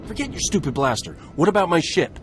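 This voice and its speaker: An actor playing a brash, gruff, roguish starship captain in a video game. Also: male voice, a little high, very animated, quick pace, fairly bright tone, soft background music. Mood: angry, impatient. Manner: brash, cocky.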